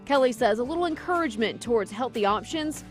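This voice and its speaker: A woman's voice: a little high, animated, fast. An American woman narrating a scripted health segment as a reporter. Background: background music.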